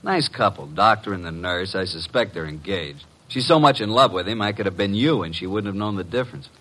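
A breezy American detective's legman from classic radio drama. His voice sits fairly low. He tosses out lines with flippant, wry ease, cocky and a little flirtatious. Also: animated, brisk, pushed and bright, full character voice. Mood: flippant, reporting. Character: breezy, wisecracking, flirtatious male detective's assistant. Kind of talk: old-time radio detective drama acting.

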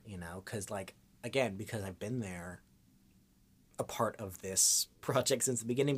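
A man chatting casually as an interview guest, rambling and informal with a goofy streak. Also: on the low side. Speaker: voice actor and improv comedian, podcast guest.

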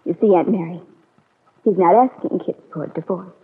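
Young American niece, in vintage radio melodrama: bitter, wounded, husky-voiced; tinny archive recording. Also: female voice, on the low side, moderate pace. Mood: hurt, resentful. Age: young adult. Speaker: radio actress. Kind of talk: classic American radio serial acting. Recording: tinny narrow-band old radio recording.

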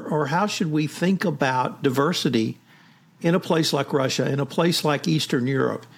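A man's voice: fairly high pitch, vocal fry. A man co-hosting a podcast, asking long, chatty questions and steering the conversation between guests. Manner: chatty, leading questions, frequent fillers. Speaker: podcast co-host.

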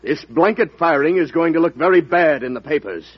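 A man's voice: a little high, brisk, slightly rough. An actor playing a presumptuous male customs official in an old-time radio drama, speaking formally with veiled menace. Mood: insinuating. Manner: formal, insinuating. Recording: dull lo-fi old radio recording.

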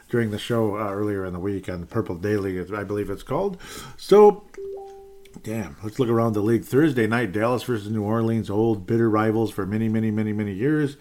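A man with a lowish voice hosts a loose, unscripted sports podcast. He rambles, stops to correct himself and drops wry little asides as he goes. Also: darkish tone. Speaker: podcast host. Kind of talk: casual sports podcast talk.

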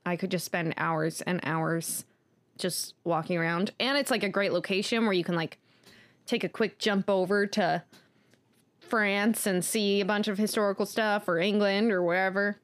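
A woman in her twenties co-hosting a podcast, talking in a relaxed, chatty way with many fillers. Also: medium pitch, moderate pace, dry recording. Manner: easygoing, chatty, with many likes and ums. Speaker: podcast co-host. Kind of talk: casual chat.

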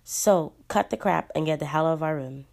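A woman voicing a grumpy, sleepy father in a read-aloud story, with little change from her own low voice. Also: a little fast, creaky, a little mellow. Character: grumpy sleepy father, not a morning person.

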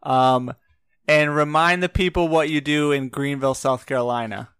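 A man hosts a casual trivia podcast in an upbeat, joking way, firing quick questions at callers. His voice sits a little high and sounds smooth and resonant. The mic is close and dry. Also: steady tone, a bit bright, no room sound. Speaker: podcast host.

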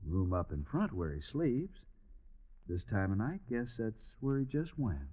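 Lowish, animated Old West jail guard. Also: male voice, unhurried, a little hiss or hum. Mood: uneasy. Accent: American. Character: resentful, talkative jail guard confiding a grudge. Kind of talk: old-time radio western acting. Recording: muffled old radio recording.

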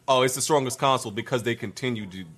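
A man's voice: medium pitch, fast talker, fairly bright tone, slight background noise. A man hosting a casual livestream, reading viewer comments aloud and adding dry, laid-back remarks. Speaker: host reading viewer super chats.

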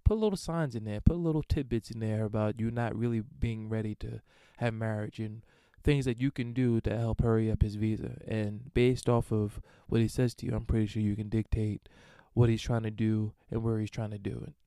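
Smooth, mellow-voiced man riffing crudely. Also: fairly low pitch, steady tone, normal speed, few pauses, no echo. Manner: crude, irreverent, rambling with frequent fillers. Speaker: podcast host answering listener emails. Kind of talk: casual solo monologue.

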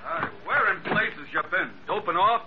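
An actor playing a gruff, grumbling shipmate with a blunt, slangy way of talking in an old-time radio drama. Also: male voice, sits high, moderate pace, close to an everyday voice. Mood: gruff, questioning. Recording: muffled old radio with clear noise.

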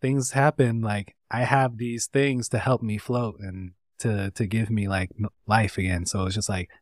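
A man from North Carolina, a guest artist, talking in a relaxed, rambling way with lots of fillers. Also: lowish voice, a little slow, dry recording, studio quality. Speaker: interview guest, artist and tattooist. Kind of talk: casual conversation.

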